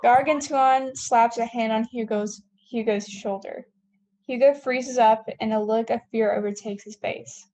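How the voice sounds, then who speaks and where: Female narrator for stage directions, mellow tone, slightly high voice, scripted read. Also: fairly even intonation, a little slow, poor audio.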